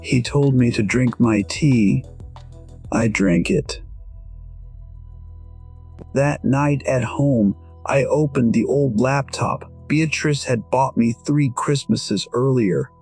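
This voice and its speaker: A man narrates a first-person audio story at a very slow, measured pace. His voice is husky and reflective, in a medium register. Gentle music plays faintly underneath. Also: mid-range voice.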